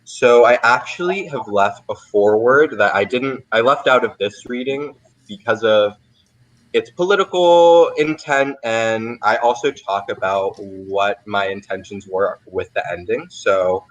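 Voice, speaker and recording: A man's voice: fairly low pitch, unhurried, low-quality recording. A young man hosting a casual livestream Q&A as a playwright, thinking aloud with lots of "like" and "kind of". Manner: informal, thinking aloud, often saying "like" and "kind of". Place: remote video livestream.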